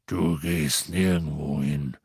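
A man doing a growling gruff giant of a man. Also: steady tone, slow pace, a little mellow, dry recording, clean studio sound, heavily acted. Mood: gruff insistent. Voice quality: low growling voice. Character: gruff huge half-giant man, protective.

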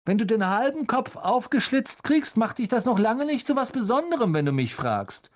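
A reader voicing an arrogant, spiteful schoolboy bully in a storybook, loud, cutting and taunting. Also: mid-range voice, sing-song, moderate pace, voice pushed up, close to an everyday voice.

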